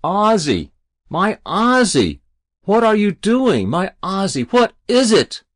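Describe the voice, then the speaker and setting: A man's voice: on the low side, huge pitch swings, slow, creaky, a bit bright, no echo, studio quality, heavily acted. A man voicing an anxious, doting mother with a bewildered, pleading manner, in an audiobook story.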